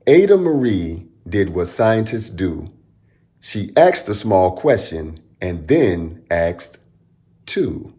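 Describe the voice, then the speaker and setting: A man reading a rhyming children's picture book aloud as a storytime host, in an expressive, dramatic storyteller manner. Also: low voice, slow.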